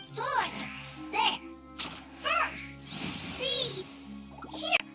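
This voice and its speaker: An actress playing a small, simple-minded creature in a video game, talking haltingly in clipped, broken phrases. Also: female voice, very high voice, expressive, heavily acted. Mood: eager, grateful. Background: loud background music.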